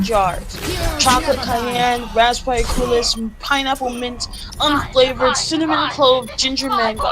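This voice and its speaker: A woman reading a story aloud in an amused, theatrical way and chatting casually on a voice call. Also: fairly high pitch. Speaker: friend reading fan fiction aloud in a game call. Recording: voice call with game music behind. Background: video game music.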